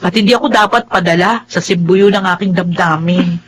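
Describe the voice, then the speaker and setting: Low-pitched earnest Filipina young mother. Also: moderate pace, breathy edge. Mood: friendly. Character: remorseful young single mother, earnest and resolute. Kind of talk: radio drama acting and narration.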